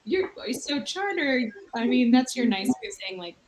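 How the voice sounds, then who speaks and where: A woman's voice: fairly high pitch, very animated, normal speed, low-quality recording. A woman in her thirties hosting a casual late-night video chat with fans from a hotel room, rambling and self-mocking. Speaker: livestream host. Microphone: video call.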